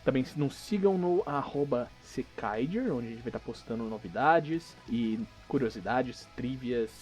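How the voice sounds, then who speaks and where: A man's voice: medium pitch, lively intonation, a little slow, a little mellow, faint music behind. A man with a Brazilian accent, casually narrating and hosting a tabletop role-playing podcast as game master.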